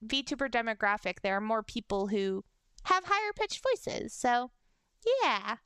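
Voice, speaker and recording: A young adult woman with a high-pitched voice, chatting casually on a livestream and reading fan messages, bubbly and giggly. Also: big pitch swings, measured pace, fairly bright tone, smooth, no echo, clean studio sound. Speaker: VTuber streamer.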